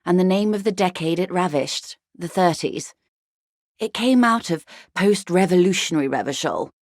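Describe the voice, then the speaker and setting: A woman's voice: fairly low pitch, expressive, normal speed, no room sound, clean studio sound, natural voice. An actress playing a wealthy, worldly older woman in a video game, articulate, arch and wryly condescending. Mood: wistful, amused. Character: wealthy, worldly older woman, urbane, wry, intellectually vain. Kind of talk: video game character dialogue.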